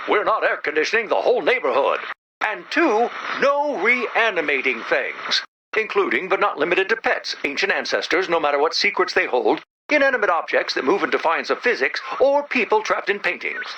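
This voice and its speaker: An actor voicing an anxious, childlike, earnest robot in an animated video game, with a timid, hesitant manner and a robotic filter. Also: mid-range voice, moderate pace, lo-fi sound. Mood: worried, earnest. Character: anxious, childlike, well-meaning robot, literal-minded.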